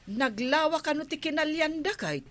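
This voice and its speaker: An actress playing an inquisitive, fretting village official's wife with a gossipy, questioning manner in a radio comedy drama. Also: female voice, high voice, lively intonation, moderate pace, fairly bright tone. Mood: concerned, nosy.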